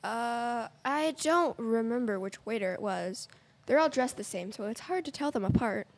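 Young girl playing high-voiced defensive comic-book killer. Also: animated, normal speed. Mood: evasive. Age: child or teen. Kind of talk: amateur youth radio play acting.

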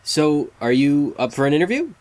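A man's voice: on the high side, big pitch swings, moderate pace, a little mellow. A man hosting a fan site interview, polite and earnest, hesitating with fillers. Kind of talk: casual interview.

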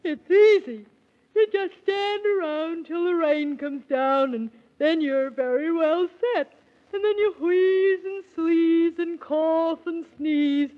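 A woman's voice: very high voice, takes it slowly. A woman playing a cheeky, argumentative little male imp who talks in rhyming nonsense in a 1930s children's radio serial. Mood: contrary, smug. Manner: rhyming nonsense patter, contrary. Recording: muffled old 1930s radio recording.

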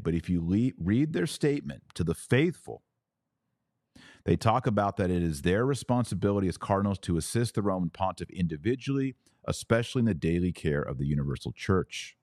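A man with a low-pitched voice hosts a religious commentary podcast. He explains in an emphatic, teacherly way, posing questions and then answering them. The sound is dry, with very little room in it. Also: darkish tone, no room sound. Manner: emphatic, didactic, asks and answers own questions. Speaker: podcast host.

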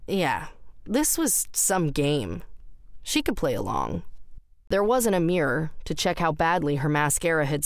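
A woman's voice: deep voice, no echo. A woman reading scripted story narration for audio fiction. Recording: roomless dry studio, faint music underneath. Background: faint music.

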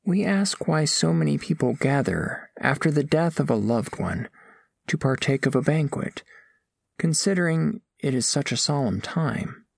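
A man playing a gentle, earnest alien scholar who narrates audio fiction in measured, formal, precise language. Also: fairly high pitch, animated, creaky, exaggerated voice. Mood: curious, observant. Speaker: first-person narrator of a story.

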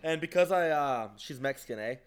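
A man's voice: fairly high pitch, expressive, normal speed, smooth. A man chatting crudely with friends, hesitant and distracted, often restarting his sentences. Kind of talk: casual chat with friends.

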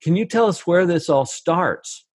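A man's voice: on the high side, lively intonation, measured pace, slightly rough, no room sound, clean studio sound. A male host asking long, framing questions in a conversational way. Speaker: interviewer, host. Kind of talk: interview questions.

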